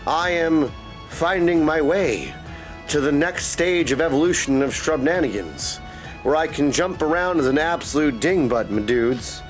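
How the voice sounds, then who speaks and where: A man's voice: on the high side, animated, measured pace, soft background music, rough recording. A man chatting casually to viewers as a game streamer, with a goofy, theatrical, playful manner. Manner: goofy, theatrical, playful with invented silly words; addresses listeners repeatedly as "my dudes" and "my friends". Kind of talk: casual livestream chat to viewers. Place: indoors at a desk in a streaming setup. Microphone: streamer microphone and headset.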